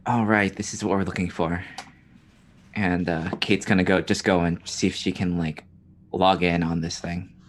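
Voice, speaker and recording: A woman's voice: extremely low pitch, little pitch movement, normal speed, slight background noise. A woman chatting casually as a player in a tabletop roleplaying game.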